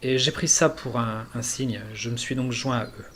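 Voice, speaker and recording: A man voicing an earnest male elf envoy from a jungle land, speaking haltingly with many fillers. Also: medium pitch, a bit bright, slight background noise, natural voice.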